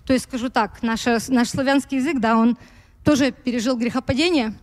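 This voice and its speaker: Slow-talking woman preacher, kinda high voice, slight american tinge to her russian, keeps hunting for words. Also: steady tone, big-room sound. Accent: American-influenced Russian. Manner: slow, searching for words, with light laughs. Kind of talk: sermon.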